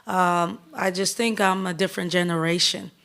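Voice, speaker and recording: Woman coach, 30s, lowish voice, upbeat and candid at a press conference. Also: fairly even intonation, gravelly, a bit bright. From Bahamas. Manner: upbeat, candid, talks in quick restarting bursts. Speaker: head basketball coach.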